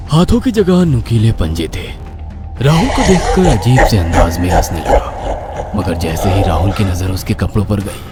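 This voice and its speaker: A man narrating a horror cartoon story in a dramatic, theatrical way. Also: on the low side. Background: background music.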